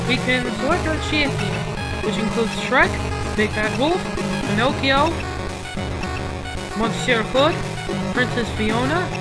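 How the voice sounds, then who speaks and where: A woman's voice: a little high, poor audio. A woman narrating a video game review, sweary and exasperated, given to ranting outbursts. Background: music.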